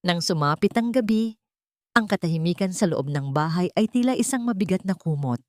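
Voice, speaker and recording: A woman's voice: fairly low pitch, lively intonation, brisk, darkish tone, no echo, studio quality. A woman storyteller reading a scripted romantic drama in a solemn, emotive way.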